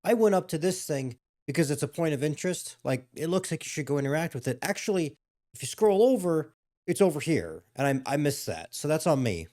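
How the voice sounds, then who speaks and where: A man's voice: fairly high pitch, big pitch swings, brisk, mellow. Brief: male gaming YouTuber, a bit under the weather and losing his voice, rambling and hesitant between moves. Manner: rambling, hesitant, with frequent restarts and fillers. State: a little ill, losing his voice. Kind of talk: casual playthrough commentary.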